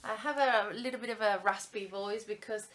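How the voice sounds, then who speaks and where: A woman giving a live simultaneous interpretation of a conference welcome speech, halting often with fillers and restarts. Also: medium pitch, steady tone, fairly bright tone.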